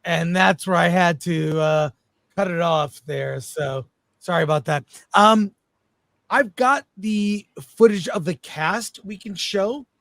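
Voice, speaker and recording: Male livestream host, high-pitched voice, casual chat delivery, dry close sound. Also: takes it slowly, vocal fry, fairly bright tone, no echo. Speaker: talk show host.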